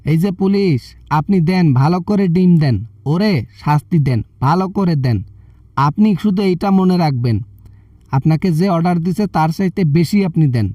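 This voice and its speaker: A man's voice: high-pitched, lively intonation, moderate pace, dark tone, no room sound, studio quality, recorded from a distance. A man with a Bangladeshi accent playing a self-righteous member of parliament who scolds and threatens condescendingly in a comic phone-call skit. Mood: gleefully vindictive. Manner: berating, repeatedly addressing the listener condescendingly.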